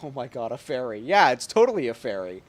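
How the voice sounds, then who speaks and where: A man's voice: medium pitch, very animated, quick pace. A man giving casual, playful live gameplay commentary as a streamer, informal and slangy. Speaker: game streamer.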